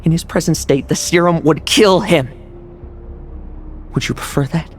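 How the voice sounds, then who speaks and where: An actor playing a cool, sardonic young schemer in a video game, with a wry, measured, dismissive manner and long reverb. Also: male voice, on the high side, natural voice. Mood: stern, resigned. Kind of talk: dramatic video game voice acting. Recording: long hall reverb over music.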